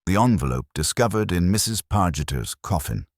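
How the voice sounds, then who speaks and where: A British man voicing a calm, courteous police inspector in a mystery story, measured, formal and dryly authoritative. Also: low-pitched, no echo, clean studio sound. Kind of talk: storybook character dialogue in a mystery.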